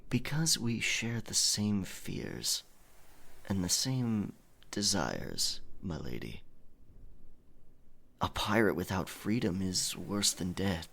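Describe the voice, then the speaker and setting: A man playing a roguish, gallant pirate captain in an ASMR audio roleplay, with a husky, breathy voice and dramatic, unhurried delivery. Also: low-pitched, sing-song, heavily acted. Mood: intimate, resolute. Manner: slow, dramatic, hushed at times.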